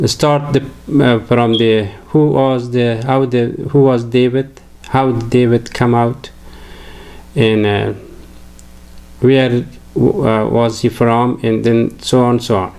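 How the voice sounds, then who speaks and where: Slow, gravelly older Afghan man lecturing. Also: mid-range voice, steady tone, a little mellow. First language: Farsi. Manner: hesitant, many fillers and restarts. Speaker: religious lecturer. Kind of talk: halting lecture to camera.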